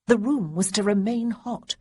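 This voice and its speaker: A woman voicing Honoria, a nervous, tender young gentlewoman from a storybook romance. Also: medium pitch, slightly rough, voice pushed up, lightly acted. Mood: anxious. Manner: fluttery, quick, softly anxious.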